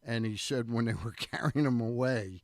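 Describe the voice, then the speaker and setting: A man's voice: medium pitch, moderate pace, dry recording. A man chatting casually about sports as an in-studio co-host on a radio show.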